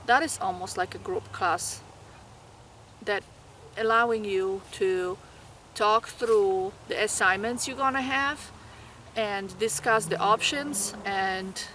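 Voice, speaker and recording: A woman's voice: mid-range voice, fairly bright tone, a little hiss or hum. A woman from Poland with a Polish accent, giving earnest, encouraging study advice to nursing students. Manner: earnest, encouraging, with small grammar slips.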